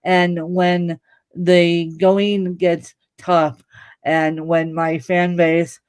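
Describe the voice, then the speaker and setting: A woman speaks on a live podcast over a video call. She talks very slowly and deliberately, and she lands her points with emphatic humor. The sound is dry and close, with no room echo. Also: fairly low pitch, little pitch movement, darkish tone, clean tone. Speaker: podcast guest and fellow podcast host. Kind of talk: casual video interview.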